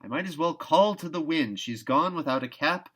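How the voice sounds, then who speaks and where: A man voicing a brisk, plain-spoken older woman, a fond but scolding guardian in a children's novel read aloud. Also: a little high, animated, a bit bright, voice pushed up. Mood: exasperated scolding.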